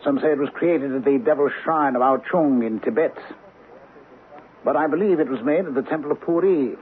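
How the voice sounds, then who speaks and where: An actor playing a grave, fearful British colonial gentleman, urgently and portentously warning his friend in an old-time radio drama. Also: a little high, fairly quick, lightly acted. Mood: grave, ominous. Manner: urgent, portentous, insistent, formal phrasing. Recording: noisy, phone-like old radio recording.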